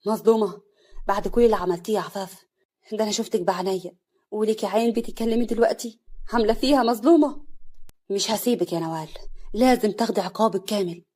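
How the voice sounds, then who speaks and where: A woman's voice: medium pitch, fairly even intonation, fast talker, darkish tone, husky, lightly acted. A woman voicing an anguished young husband with an Egyptian accent, pleading and angry by turns. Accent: Egyptian Arabic. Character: desperate young husband Hassan.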